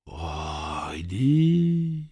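A man voicing an amazed young woman asking hushed, wondering questions. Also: low-pitched, big pitch swings, extremely slow, slightly rough, dry recording, full character voice.

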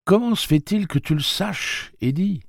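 A man voicing a protective young woman who speaks high and pleading. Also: expressive, moderate pace, gravelly, dry recording, clean studio sound, exaggerated voice. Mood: questioning.

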